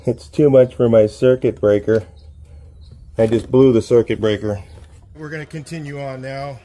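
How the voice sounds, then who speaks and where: A man's voice: mid-range voice, normal speed. A middle-aged man giving a casual hands-on welding lesson in an outdoor driveway workshop, talking plainly as he works, filmed first-person on the camera's own mic. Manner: relaxed, plainspoken, talks while working. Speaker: garage welding instructor.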